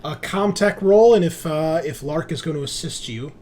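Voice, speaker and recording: A man's voice: a little high, moderate pace, a little mellow, slight background noise. A man narrating a tabletop game as game master, conversational and thinking aloud as he goes.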